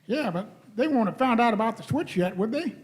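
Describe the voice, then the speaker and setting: A man plays an eager young adventurer in a sci-fi radio play. His voice is high for a man, earnest and a bit flustered, tripping over hesitations. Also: lively intonation, fairly quick. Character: earnest, flustered young male space-travelling companion. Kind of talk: live radio play reading.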